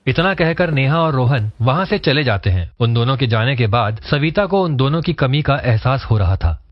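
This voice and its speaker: A man's voice: mid-range voice, animated, normal speed, lo-fi sound. A man narrating a scripted cartoon story as its storyteller.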